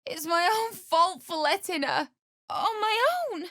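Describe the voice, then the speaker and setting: An actress voicing a frightened, grieving young wife in a fantasy video game, pleading and tearful, breaking into anguished cries. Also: female voice, extremely high pitch, sing-song, slow pace, fairly bright tone, dry recording, clean studio sound, exaggerated voice.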